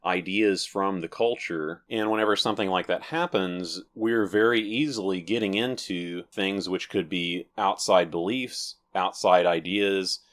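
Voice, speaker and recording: Deep-voiced man explaining his views. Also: fairly even intonation, fairly bright tone. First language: English. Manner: deliberate, repeats and rephrases points. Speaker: religious video host. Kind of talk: solo talk to camera, measured explanation.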